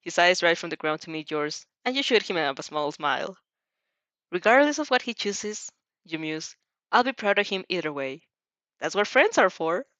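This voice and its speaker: A woman reading a story aloud in an expressive, amused way, sometimes stumbling and rereading phrases. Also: lowish voice, moderate pace, no room sound, lo-fi sound. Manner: giggly, stumbles over phrases and rereads them. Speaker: fan fiction reader reacting as she reads.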